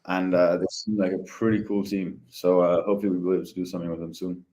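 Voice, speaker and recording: A man chatting casually as a podcast guest, easygoing and hesitant with many pauses. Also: deep voice, flat delivery, dark tone. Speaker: head of ecosystem, podcast guest.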